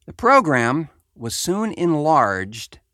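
A man's voice: on the high side, very animated, slow pace, sharp tone, dry recording, studio quality. An American man hosting a show, reading a report aloud with wry, sardonic conversational asides. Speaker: podcast host.

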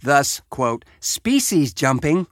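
Wry American man with animated, swooping delivery. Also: on the high side, takes it slowly, dry recording, clean studio sound. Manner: wry, sardonic asides. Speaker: podcast host. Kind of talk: reading a research report aloud with conversational asides.

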